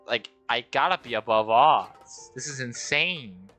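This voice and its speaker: A man's voice: mid-range voice, measured pace, pushed and bright, faint music behind. A man streaming a game, rambling and joking at himself as he talks through it. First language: Danish. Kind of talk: casual game livestream commentary.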